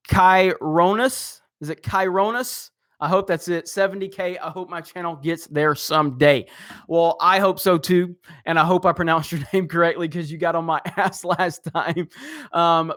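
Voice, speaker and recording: A man's voice: sits high, fairly bright tone. Chatty, rambling male live streamer. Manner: rambling, chuckling often, many fillers. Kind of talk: casual live stream chat reading comments.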